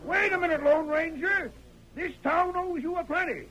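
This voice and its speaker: An actor playing a blustering, excitable town judge in an old radio western, with folksy, exclamatory speech. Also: male voice, very high voice, normal speed, heavy background noise. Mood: excited. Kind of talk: 1940s radio western acting. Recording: old radio recording, crowd murmur behind.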